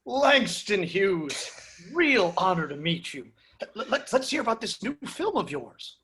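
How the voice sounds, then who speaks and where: Man playing a high-voiced, brash studio boss. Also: very animated, bright, forceful tone, slight background noise, full character voice. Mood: smooth greeting. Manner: stammering, fast-switching, salesman-like. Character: brash, flustered male Hollywood studio boss. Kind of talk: amateur table read of TV drama script.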